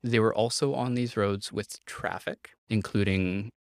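Low-voiced man with wry chuckles. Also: steady tone, a little slow, dry recording, studio quality. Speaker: podcast host telling a history story. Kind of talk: casual comedic storytelling.

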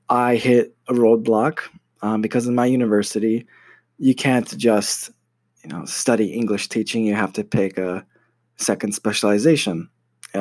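A man's voice: on the low side, darkish tone, dry recording. A young American man telling a personal story about his studies in a casual, hesitant way, full of fillers and restarts. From United States. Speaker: university student.

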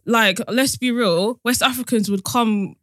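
A woman's voice: a little high, a little slow, fairly bright tone, dry recording, studio quality. A woman from Uganda on a podcast panel, making her points emphatically and earnestly. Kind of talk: casual panel chat. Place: studio.

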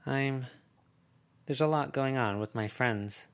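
A man's voice: mid-range voice, slow pace, clear, resonant tone, voice pushed up. A man voicing a guarded teenage girl who speaks hesitantly and dryly.

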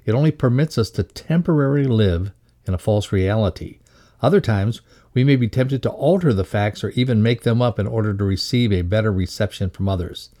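A man with a dark, mellow and slightly low voice reads a prepared lesson. His delivery is measured and instructive, like narration for a training course. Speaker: reader of a values lesson. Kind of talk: scripted educational narration.

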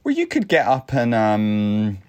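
British male co-host, low-pitched voice, casual conversational banter. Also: huge pitch swings, unhurried, a little mellow, clean tone, no room sound. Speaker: co-host of a chat show.

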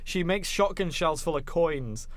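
A man with a fairly high-pitched voice chats casually as a podcast co-host. He is an enthusiastic, opinionated fan who talks films in an informal way. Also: lively intonation, a little slow. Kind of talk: casual film discussion.

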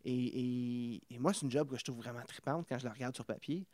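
Podcast host, rambling questions. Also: male voice, medium pitch, brisk, darkish tone. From Ontario. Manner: rambling questions with stumbles and fillers. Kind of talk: casual interview.

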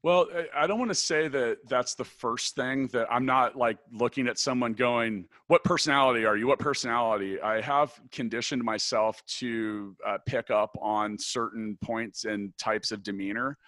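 A man with a big, deep voice answering interview questions thoughtfully, restarting his sentences often. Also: normal speed, hardly any pauses, fairly bright tone, dry recording. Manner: thoughtful, many restarts and fillers. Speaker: podcast guest, business founder.

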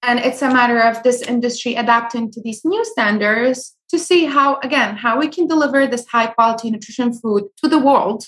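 High-voiced young woman from Syria. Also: fairly quick, few pauses, a bit bright. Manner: earnest, advice-giving, frequent ums and restarts. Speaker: guest, food blogger. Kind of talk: conversational interview.

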